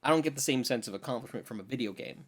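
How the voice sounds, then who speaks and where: A man's voice: medium pitch, lively intonation, racing. Male podcast co-host, everyday chatty delivery, conversational and opinionated. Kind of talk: casual podcast chat.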